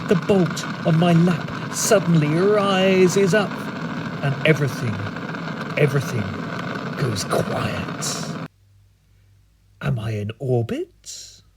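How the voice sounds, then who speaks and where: A British man reciting comic spoken-word poetry in a dramatic, whimsical, deliberate manner. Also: on the high side, very noisy. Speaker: performance poet.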